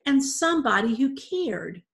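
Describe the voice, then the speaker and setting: A woman voicing an earnest young girl who pleads tearfully and rushes on, as in a storybook reading. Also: sits high, sing-song, gravelly, pitched above the performer's own voice, heavily acted.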